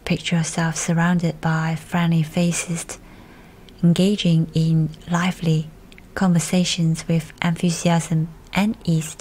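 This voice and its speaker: A woman reading a scripted guided meditation in a soft, soothing, breathy delivery. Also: fairly low pitch, flat delivery, takes it slowly, rough recording. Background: music.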